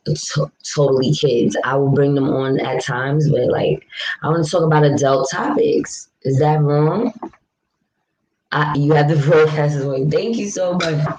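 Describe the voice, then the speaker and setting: A young woman from New York with a low, deep voice talks frankly and at length to her livestream viewers. She answers their comments in an easy, chatty way. A faint noise sits under the recording. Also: a little mellow, poor audio. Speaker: livestream vlogger. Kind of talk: casual live chat, answering viewer comments.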